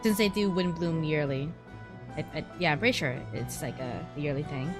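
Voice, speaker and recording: A woman's voice: low voice, animated, normal speed, over music. A woman streamer giving casual commentary while playing a video game.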